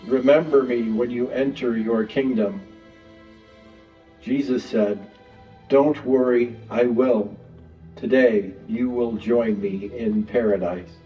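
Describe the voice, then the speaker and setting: A man's voice: mid-range voice, mellow. A man giving a dramatized Bible reading as a narrator in a Passion drama. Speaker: scripture narrator. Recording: distant, echoey, slightly dull, music behind.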